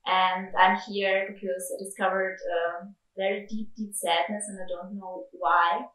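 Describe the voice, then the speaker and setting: A woman's voice: medium pitch, little pitch movement, a little slow, a bit bright. A woman, speaking hesitantly with many fillers as she searches for words in a candid interview. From Austria. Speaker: retreat participant. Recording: roomy, distant mic.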